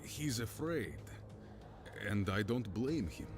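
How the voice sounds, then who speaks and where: A man playing a solemn, compassionate mentor in an audio drama, speaking in a measured, weighty way. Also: lowish voice, big pitch swings, noisy background. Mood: solemn, resolute. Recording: loud music under the voice.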